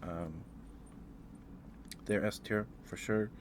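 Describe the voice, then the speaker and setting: A man hosting an ASMR livestream, chatting casually in a halting, rambling way with pauses and repetitions. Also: on the low side, fairly even intonation, background noise. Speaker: livestream host.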